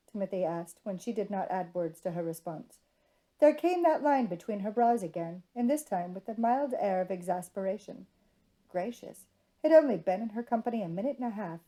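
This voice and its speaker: A woman's voice: mid-range voice, expressive, fairly quick, mellow, rough recording. A woman reading scripted fiction narration from a storybook.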